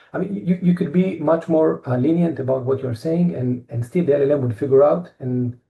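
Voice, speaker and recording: Gravelly Israeli man, halting tech talk. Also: medium pitch, muffled recording. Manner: halting, many fillers and restarts. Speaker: tech company presenter. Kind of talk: technical presentation.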